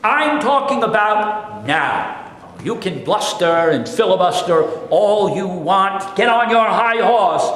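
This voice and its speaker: A stern, stubborn adoptive father, voiced by a man with a high, lively voice and a slow, deliberate delivery. He is blunt and unyielding. The sound is soaked in long hall echo with faint noise. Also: full character voice. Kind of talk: staged play reading. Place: theater stage.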